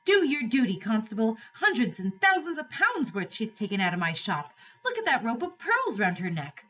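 A woman's voice: on the high side, big pitch swings, normal speed, sharp tone, lo-fi sound, voice pushed up. A woman voicing an indignant fat male shopkeeper in an exclamatory, complaining storybook performance.

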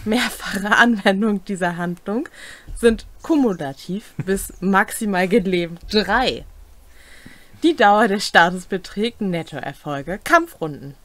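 A woman's voice: mid-range voice, lively intonation, slow pace, a bit bright, no room sound. A young adult woman casually reading out and discussing tabletop game rules as a co-host. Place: small indoor home room.

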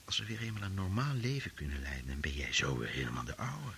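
An actor playing an earnest, gentle, idealistic professor in a Dutch radio play. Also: male voice, extremely low pitch, big pitch swings, fast talker, creaky, full character voice. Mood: soothing. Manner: soothing, earnest, often hushed. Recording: hissy old radio drama recording.

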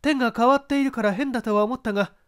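Man voicing a haughty, high-pitched glamorous actress. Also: racing, fairly bright tone, clear, resonant tone. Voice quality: mezzo-soprano pitch, feminine. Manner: flippant, haughty, coquettish. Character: proud, mocking glamorous actress, spurning her ex-husband. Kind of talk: dramatic audiobook character reading.

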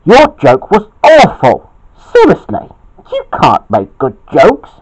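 A man's voice: high voice, huge pitch swings, slow pace, darkish tone, voice pushed up, heavily acted, poor audio. A man voicing a hot-tempered angry man who squabbles, in an amateur storybook telling. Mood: furious. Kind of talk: amateur storytelling with acted dialogue.

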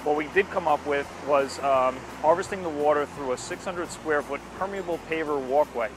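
An older man giving an informal, unscripted explanation to camera outdoors, speaking in a halting, explanatory way. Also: a little high, noisy background. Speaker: landscape company presenter. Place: outdoors at a street corner by a station. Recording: music behind the voice, outdoor recording. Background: music.

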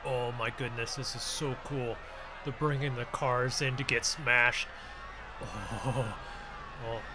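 An American man hosting a gaming roleplay video, exclaiming in casual, excited commentary. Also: medium pitch, takes it slowly, a crowd in the background. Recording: clear noise, vehicle ambience behind.

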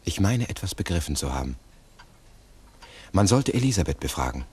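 Very low husky male voice, thoughtful investigator, deliberate, 1960s radio drama. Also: sing-song, darkish tone, heavily acted. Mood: pensive. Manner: deliberate, pensive, explanatory. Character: earnest, philosophizing male investigator of a mystery. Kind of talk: 1960s German radio play acting. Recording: 1960s mono radio recording.

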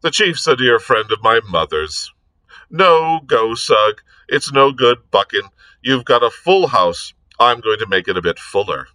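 A man voicing a blithe, cheeky gentleman sleuth with a breezy, flippant, chatty manner. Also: mid-range voice, big pitch swings, measured pace, no room sound. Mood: cheerful.

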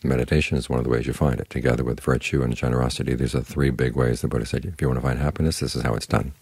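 A man giving a short spoken meditation talk as a Buddhist teacher, calm, instructive and plain-spoken. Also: very deep voice, racing, slightly rough, no room sound.

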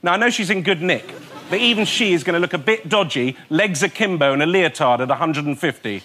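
A male comedian making a cheeky, joke-filled debate pitch, laughing through his lines. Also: lively intonation, fairly quick, very noisy. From Swiss descent, born in Lebanon. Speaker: comedian panelist. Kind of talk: stand-up style debate pitch.

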